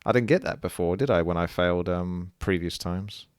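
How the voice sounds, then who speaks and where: A man's voice: sits low, moderate pace, faint music behind. A man giving casual live gameplay commentary as a streamer, thinking aloud as he plays. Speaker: game streamer.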